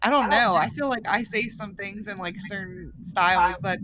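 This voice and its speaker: A woman's voice: mid-range voice, steady tone, normal speed, crowd noise, rough recording. A young woman with a Pittsburgh accent, chatting casually as a podcast co-host. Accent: Pittsburgh, western Pennsylvania. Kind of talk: casual chat.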